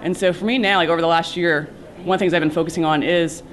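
A cybersecurity professional speaking earnestly in a conference interview, with many fillers and restarts. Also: female voice, fairly low pitch, steady tone, fast, low-quality recording.

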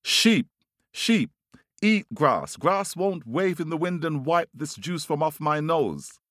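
Man voicing a high, pleading, desperate storybook rooster. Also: lively intonation, takes it slowly, dry recording, clean studio sound. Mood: insistent. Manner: loud, pleading, sing-song repetitive calls. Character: vain, desperate singing rooster pleading for help. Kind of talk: children's storytelling character voice.

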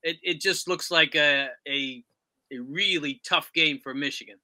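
A man's voice: fairly high pitch, unhurried, bright, forceful tone. A man hosting a sports talk podcast and putting questions to his guest. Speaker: podcast host.

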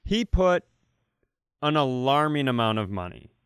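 A man's voice: medium pitch, very animated, a little slow, a bit bright, clear, resonant tone, no echo, studio quality. A man co-hosting a comedy podcast, telling casual comic stories with profane, jokey banter.

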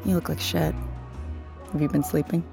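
An actress playing a blunt, sardonic, hard-drinking tech colleague in a modern drama, dry and teasing. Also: female voice, sits low, fairly even intonation, moderate pace, gravelly. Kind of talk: naturalistic modern drama acting. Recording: music playing behind in a bar.

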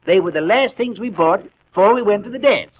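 A folksy, apologetic rancher, speaking high and husky with a rural American accent. He is hesitant and deferential, in a 1950s radio western with a dull old sound. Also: animated, brisk. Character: apologetic, folksy male Texas rancher with a complaint.

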